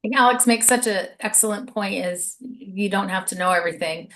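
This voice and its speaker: A woman's voice: mid-range voice, fairly quick, a bit bright, low-quality recording. A woman hosting a video call, giving an upbeat, persuasive sales presentation. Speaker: host.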